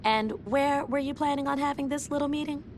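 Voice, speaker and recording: Brief: sassy, exasperated woman, high voice, faint rumble behind. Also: steady tone, brisk. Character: sharp-tongued woman, former gang member. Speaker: game character.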